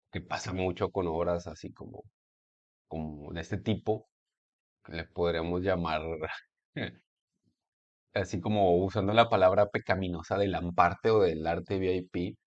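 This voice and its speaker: Man, Mexican Spanish accent, low pitch, sarcastic rambling commentary, home computer recording. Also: lo-fi sound. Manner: rambling, hesitant, many fillers and restarts, sarcastic asides. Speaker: video essayist. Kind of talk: casual commentary monologue.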